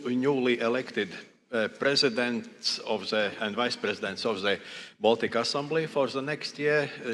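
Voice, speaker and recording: A man with a Latvian accent giving a formal address at a parliamentary session, speaking haltingly with frequent fillers. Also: mid-range voice, a bit bright, long reverb tail. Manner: hesitant, many pauses and fillers. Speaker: parliamentary assembly president.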